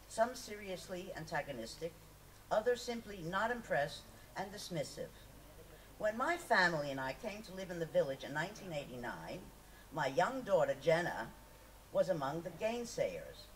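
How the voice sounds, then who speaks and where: Woman reading aloud, lively intonation, distant mic. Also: lowish voice. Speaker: reader at a poetry festival. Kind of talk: reading a memoir aloud to an audience. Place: live festival reading, picked up from a distance. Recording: distant mic, noisy live event recording.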